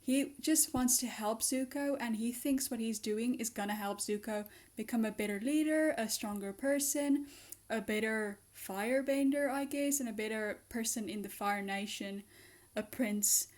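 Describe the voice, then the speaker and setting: A young woman with a raspy, hoarse voice from being sick, chatting casually and gushing as she reviews a show. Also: fairly high pitch, moderate pace, darkish tone. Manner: gushing, chatty, with many fillers.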